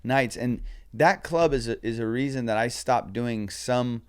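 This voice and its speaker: A man, a comedian guest on a podcast, chatting casually with lots of swearing and animated storytelling. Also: medium pitch, measured pace, smooth. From Southern California.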